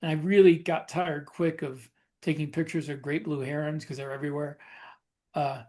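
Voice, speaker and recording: Higher-voiced man chatting casually. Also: level tone, normal speed, darkish tone. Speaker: podcast co-host. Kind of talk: casual chat, personal anecdotes.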